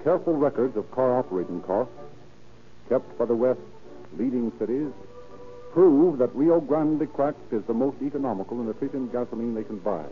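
A man announcing and narrating in an emphatic, formal, scripted 1930s radio style. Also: mid-range voice. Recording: tinny 1930s radio recording with music.

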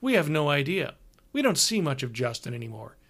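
A man's voice: fairly high pitch, sing-song, brisk. A man voicing a hot-tempered young rock musician who speaks sharply. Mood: exasperated. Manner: sharp, exasperated.

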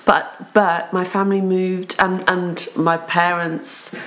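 A middle-aged woman from Wales with an English accent, talking casually as an interviewee, chatty and quick to jump in with her own story. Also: lowish voice, level tone, fairly bright tone, slight background noise. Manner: chatty, interjecting, speeding up when telling a story. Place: building site overlooking a town.

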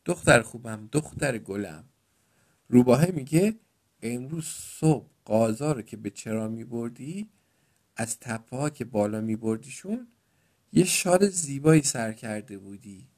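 A man's voice: medium pitch, lively intonation, darkish tone, natural voice. Storybook farmer father, acted by a man: cajoling, feigning innocence, mid-range voice. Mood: coaxing. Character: farmer father, cajoling his young daughter with tall tales. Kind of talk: children's storytelling.

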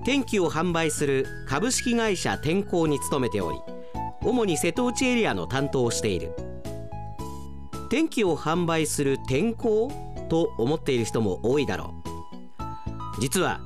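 A man performs as a male storyteller narrating a whimsical daytime radio drama. His delivery is scripted and theatrical, with music clearly audible behind it. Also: a little high.

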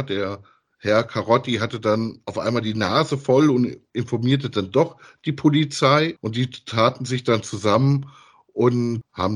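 A man with a fairly low voice talks as a podcast co-host. He reviews and retells a story in loose, everyday language, keeping it easygoing and conversational. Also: husky. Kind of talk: casual review chat about an audio drama.

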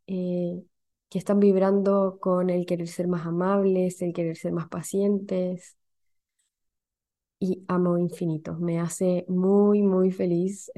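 A young woman with a Chilean accent hosting a calm, reflective podcast, speaking slowly and gently as she guides the listener. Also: mid-range voice. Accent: Chilean Spanish. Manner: slow, gentle and soothing, guides breathing. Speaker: podcast host.

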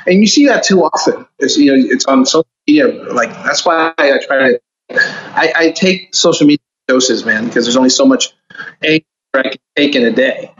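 A man's voice: medium pitch, normal speed, fairly bright tone. Man in his forties, podcast guest, chatty, candid, muffled line with faint hiss. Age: 40s. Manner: candid, chatty, laid-back. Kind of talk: casual podcast chat.

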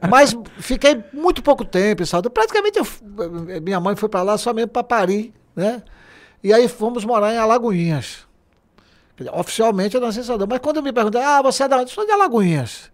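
A man, talking as a guest. His voice sits high for a man and swings widely in pitch as he tells jokey stories. He laughs easily and keeps a loose, chatty flow. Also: fairly quick. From Bahia, Brazil. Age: 60s. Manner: animated, jokey, laughs often. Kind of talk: casual storytelling interview.

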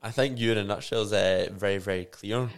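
A man's voice: on the low side, a little fast. A man hosting a podcast, asking questions in a friendly, enthusiastic way. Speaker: podcast host. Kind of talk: casual interview hosting.

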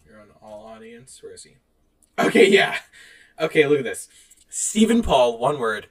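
A man co-hosting a podcast in casual chat, animated and quick to laugh. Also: mid-range voice. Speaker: podcast co-host. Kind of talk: casual chat, reading out online reviews.

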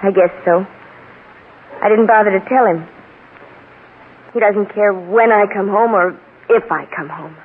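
An actress playing a cool, frank, embittered wife with a measured delivery in an old-time radio crime drama. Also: female voice, medium pitch, husky. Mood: frank, bitter. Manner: measured, blunt. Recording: noisy, narrow-band old radio recording.

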